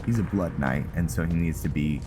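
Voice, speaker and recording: A man hosting a gaming channel, giving casual roleplay commentary in a halting way, thinking aloud as he plays. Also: extremely low pitch, music in the background.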